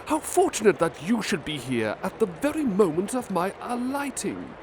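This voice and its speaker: Guy doing an excited young friend, gushing and upbeat, pitched up a good bit, street noise going loud behind. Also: normal speed, heavily acted. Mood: glad, eager. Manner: bright, excited, effusive delivery. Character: eager, cheerful young man, an old friend.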